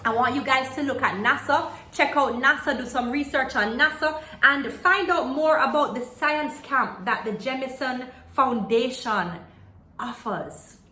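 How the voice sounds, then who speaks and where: A woman reading a children's book aloud in an expressive way, stopping to put questions to young listeners. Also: high-pitched, a little slow, slight background noise. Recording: roomy, echoey home-style video recording.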